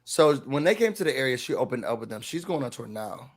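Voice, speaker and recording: A slightly hoarse man hosting a casual TV recap chat, telling the story animatedly and acting out quotes. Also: mid-range voice, big pitch swings, quick pace. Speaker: recap show host.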